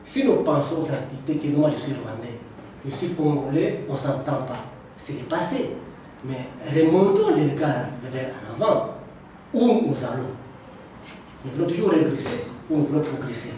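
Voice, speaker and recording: Man, slightly high mellow voice, earnest debate contribution, distant echoey recording. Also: very animated, normal speed. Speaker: audience contributor at a conference. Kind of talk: impromptu speech in a public debate. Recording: very echoey room, distant mic, faint noise.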